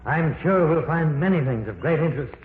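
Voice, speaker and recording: An actor playing a calm, learned male professor exploring caverns, measured and reassuring, in an old radio drama. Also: on the high side, lightly acted. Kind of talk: 1940s radio acting. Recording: tinny old radio broadcast, noisy, echoey.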